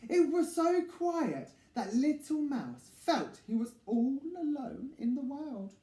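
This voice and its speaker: A man reading a picture book to children as an expressive storyteller, with theatrical emphasis and dramatic pauses. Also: very high-pitched. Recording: echoey room.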